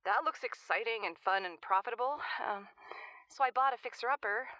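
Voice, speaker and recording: An actress playing an awkward, rambling amateur house-flipper who calls a radio show, hesitant and often correcting herself. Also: female voice, fairly high pitch, brisk, pushed and bright, no room sound, rough recording. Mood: flustered, apologetic. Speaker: caller. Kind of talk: naturalistic audio drama acting.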